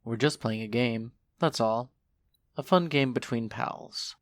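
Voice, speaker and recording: A woman's voice: a bass voice, measured pace, pitched below the performer's own voice. A woman voicing a lazy, joking skeleton brother with a casual, nonchalant drawl in a fan audio story. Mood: nonchalant, teasing. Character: laid-back, joking skeleton older brother, a lazy swindler.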